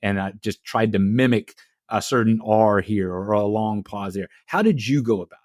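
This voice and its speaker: A man from Texas hosting a casual interview podcast, talking with lively emphasis and often repeating words as he starts a sentence. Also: fairly low pitch, moderate pace, no echo, studio quality. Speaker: podcast host.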